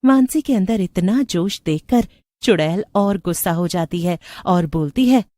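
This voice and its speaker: Lowish-voiced woman narrating a story. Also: lively intonation, measured pace, warm tone, no room sound.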